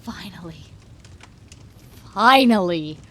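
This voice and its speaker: A woman playing a gleeful, unhinged female supervillain in a roleplay ASMR monologue, teasing and theatrical with drawn-out menace. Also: medium pitch, animated. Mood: bitter.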